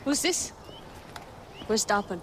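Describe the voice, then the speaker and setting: A woman's voice: mid-range voice, expressive, slow. Curt, hard-edged rebel woman. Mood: angry, disbelieving. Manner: curt, confrontational. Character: hard, impatient female rebel leader. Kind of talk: video game cutscene acting. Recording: noisy outdoor game ambience.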